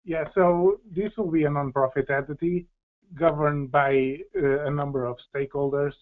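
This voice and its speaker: Male policy expert, even mid-range delivery, halting explanatory answers, heard through a narrow phone-like line. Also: fairly high pitch. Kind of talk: explanatory panel Q&A. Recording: tinny, phone-like band-limited audio.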